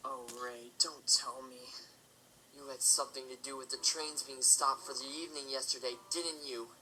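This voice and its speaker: A man playing a stern, protective pony father in an amateur audio drama, speaking in an admonishing, deliberate way. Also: mid-range voice, fairly even intonation, sharp tone, a little hiss or hum, poor audio. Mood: accusing. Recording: faint music behind.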